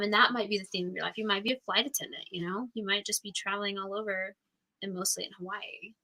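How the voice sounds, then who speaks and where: A woman's voice: medium pitch, pushed and bright, poor audio. A woman teaching a live astrology class in a casual, chatty way, full of fillers and frequent laughs.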